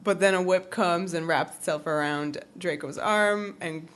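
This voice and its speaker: A woman's voice: lowish voice, measured pace. A woman co-hosting a podcast, recapping a TV episode in casual, jokey chat. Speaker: podcast co-host.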